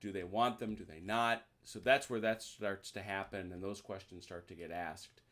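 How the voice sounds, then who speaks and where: A man's voice: low voice, fairly even intonation, normal speed, a bit bright. A man hosting a video call, casually walking players through the rules of a tabletop wargame with plenty of restarts. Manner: chatty, explains rules with many restarts and "uh"s. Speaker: host teaching a tabletop wargame.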